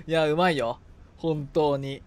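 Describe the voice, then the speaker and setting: A man's voice: a little high, takes it slowly. A man chatting casually and playfully as he streams a co-op video game. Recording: game music behind. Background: video game music.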